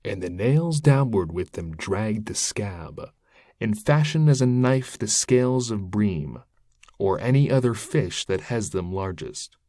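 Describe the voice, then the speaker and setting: A man reading epic poetry aloud as narrator, in a solemn, measured, declamatory manner. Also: fairly low pitch, expressive, dark tone, no echo, studio quality. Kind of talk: dramatic recital of epic verse read aloud.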